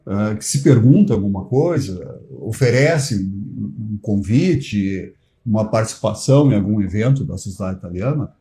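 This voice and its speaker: A man speaks as a guest on a talk show. He explains at length, hesitating with fillers and restarts, then pushing his points home with emphasis. His pitch moves a lot and his tone stays mellow and warm. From Brazil. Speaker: society treasurer, guest.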